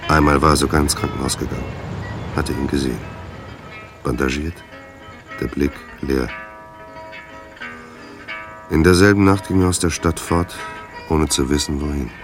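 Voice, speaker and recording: A male narrator of a detective radio drama. His voice is exceptionally deep, and his delivery is sombre and matter-of-fact, with deliberate phrasing. A guitar plays faintly underneath. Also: fairly even intonation.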